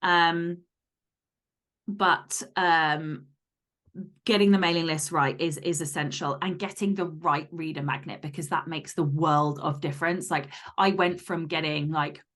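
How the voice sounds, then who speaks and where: A woman's voice: low-pitched, fairly even intonation, measured pace, a bit bright. A woman podcast guest giving conversational advice in a blunt, emphatic, sweary way.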